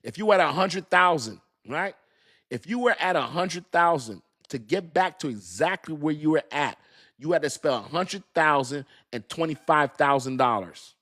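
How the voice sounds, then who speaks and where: A man's voice: on the high side, lively intonation, normal speed, fairly bright tone. Hyped slangy man hosting a crypto stream. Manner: hyped, repetitive, slangy, talks straight to chat. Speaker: crypto livestream host. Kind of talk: excited casual livestream talk to chat.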